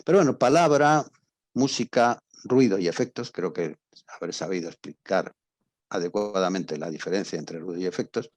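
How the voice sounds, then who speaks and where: Man, Spain accent, lowish pitch, dry sound, informal lecturer, didactic, repeats and stresses key words. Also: animated, normal speed, a little mellow, dry recording. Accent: Spanish from Spain. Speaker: university lecturer in radio drama.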